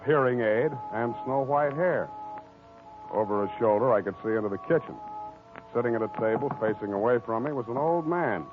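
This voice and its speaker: A man acting a wry, weary hard-boiled detective in a deadpan, clipped 1940s radio drama style. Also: mid-range voice, close to an everyday voice. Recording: muffled old radio recording with music.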